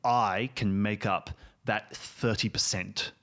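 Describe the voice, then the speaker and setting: A man talking casually as a game master, halting and emphatic as he thinks aloud and describes things. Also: on the low side, steady tone, bright, forceful tone. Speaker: show creator and game master. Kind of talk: casual chat and improvised game narration.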